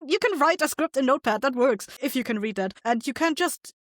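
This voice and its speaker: A woman's voice: high-pitched, lively intonation, a little fast, no room sound, clean studio sound. A woman chatting casually as a podcast guest, plain-spoken, informal and encouraging. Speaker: podcast guest, audio drama maker. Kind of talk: casual chat.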